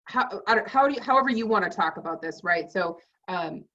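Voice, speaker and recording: A woman's voice: mid-range voice, expressive, fairly quick, bright, forceful tone. A woman hosting an interview, asking encouraging, rambling questions with many fillers. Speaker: podcast host. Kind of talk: casual interview. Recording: distant, muffled mic.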